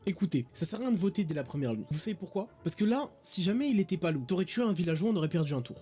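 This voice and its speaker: A man with a high voice chatting casually as he plays a werewolf game over voice chat. He gets excitable and teasing, and his banter easily tips into shouting. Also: rapid-fire, faint music behind. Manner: excitable, rowdy, teasing, shouts when worked up. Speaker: player setting up an online werewolf game. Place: voice chat from home.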